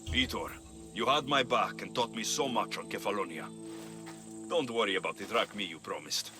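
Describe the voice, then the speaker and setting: An actor playing a tough but kind-hearted young Greek mercenary in a video game. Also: male voice, on the low side, normal speed, over music, natural voice. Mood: guarded, warm.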